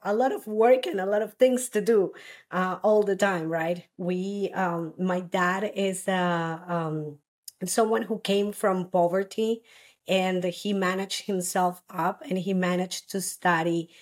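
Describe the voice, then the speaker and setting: A woman from Costa Rica in her thirties, telling her personal story as a guest in a halting, earnest way with many restarts. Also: fairly low pitch, fairly even intonation.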